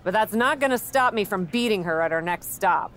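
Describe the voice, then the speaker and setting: A woman's voice: medium pitch, lively intonation, a little fast, fairly bright tone, natural voice. An actress playing a confident, playful woman wrestler in a video game cutscene. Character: confident, punning female wrestling champion.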